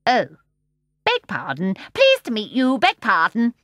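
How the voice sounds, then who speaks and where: A woman voicing a bitter, rude small male seal in a storybook reading, angry and shouting. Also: fairly high pitch, wildly swooping pitch, unhurried, no room sound, studio quality, voice pushed up, heavily acted. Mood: grudging.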